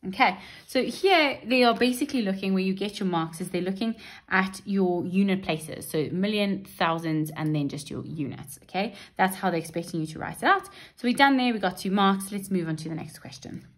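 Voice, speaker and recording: A woman's voice: medium pitch, expressive, brisk. Chatty woman teaching maths. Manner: chatty, reassuring, checks in with "right?" and "okay?". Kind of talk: exam paper walkthrough lesson. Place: indoors at a wooden desk.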